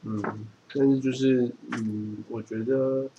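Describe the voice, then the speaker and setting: A man's voice: medium pitch, steady tone, takes it slowly, mellow, highs cut off. Taiwanese Mandarin man, noisy recording. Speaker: podcast co-host. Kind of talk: casual chat. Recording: clearly noisy background.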